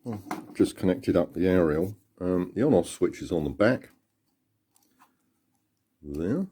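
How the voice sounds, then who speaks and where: Deep, husky man. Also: lively intonation, unhurried, dark tone. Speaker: radio hobbyist presenter. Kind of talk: hands-on equipment demonstration.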